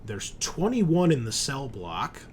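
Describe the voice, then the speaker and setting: A man's voice: mid-range voice, sing-song, slow, slight background noise. A man narrating a sci-fi horror tabletop game as game master in a casual, descriptive, explanatory way.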